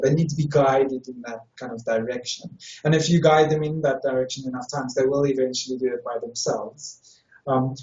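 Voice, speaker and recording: A man's voice: mid-range voice, a little mellow. A young man from South Africa, a native Afrikaans speaker with a South African accent, giving thoughtful, explanatory interview answers as a language tutor, often restarting his sentences. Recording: distant, roomy, dull sound.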